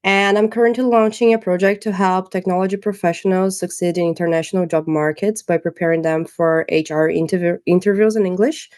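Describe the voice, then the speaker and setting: A woman's voice: fairly low pitch, steady tone, fairly quick, few pauses, darkish tone. A woman in her late twenties from southern Brazil, an English teacher asking a structured question on a video call. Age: 20s.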